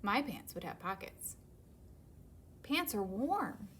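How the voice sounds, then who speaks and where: Woman as a stubborn, wishful storybook panda, very lively. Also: mid-range voice, slow, a little hiss or hum. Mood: insistent. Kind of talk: children's picture-book read-aloud.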